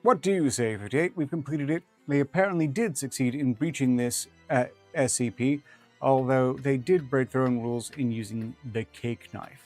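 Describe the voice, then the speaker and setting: A man's voice: mid-range voice, moderate pace, a little mellow. Guy streaming a story reading, dry rambly asides, bit of guitar music behind him. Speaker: streamer reading horror fiction aloud. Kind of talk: reading aloud with casual asides.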